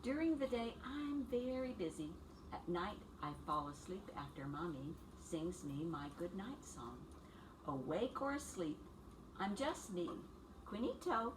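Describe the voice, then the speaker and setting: A woman's voice: medium pitch, big pitch swings, unhurried. A woman teacher doing a read-aloud for young children, talking to them in simple, encouraging phrases and questions. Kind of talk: read-aloud story time and instructions to small children. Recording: distant mic with clear background noise.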